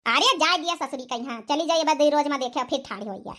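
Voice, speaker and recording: A woman's voice: sits high, fast, fairly bright tone. A woman voicing a blunt, scolding middle-aged village mother-in-law with an Awadhi accent in a cartoon comedy. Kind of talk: dialect cartoon comedy acting.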